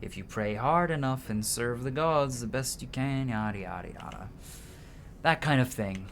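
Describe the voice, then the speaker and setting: A man's voice: medium pitch, normal speed, creaky, a bit bright, a little hiss or hum. A man doing casual let's-play commentary, informal and sweary, reading game dialogue aloud as he plays. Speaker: game streamer.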